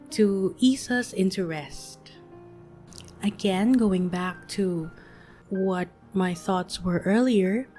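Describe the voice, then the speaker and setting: A woman in her late thirties, speaking gently and soothingly in a reflective monologue as a podcast host. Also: mid-range voice. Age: 30s. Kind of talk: soft-spoken reflective monologue.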